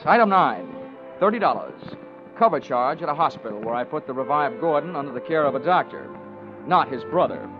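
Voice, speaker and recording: An American actor playing a tough, wry insurance investigator who narrates his case in clipped, deadpan 1940s radio detective style. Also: fairly high pitch, natural voice. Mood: wry, dry. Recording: dull vintage radio recording with music.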